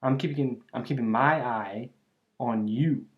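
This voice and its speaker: A man performs a bandit gang leader with a mid-pitched male voice. He is cocky yet oddly friendly, talking straight to the listener in loose, folksy slang. The delivery is a teasing, chatty ASMR roleplay monologue. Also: unhurried, dull audio, natural voice. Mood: teasing, easygoing.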